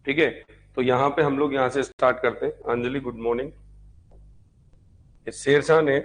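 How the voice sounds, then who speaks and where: A man's voice: medium pitch, steady tone, normal speed, a little hiss or hum, poor audio. A man teaching an online history class conversationally, repeating key points and posing rhetorical questions as he goes. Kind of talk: live online exam-prep lecture.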